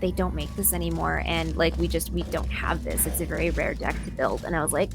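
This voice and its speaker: A woman's voice: low-pitched, fairly even intonation, a little fast, gravelly. A woman in a casual, playful chat, speaking as an interviewed voice actor while playing a video game. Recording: music in the background.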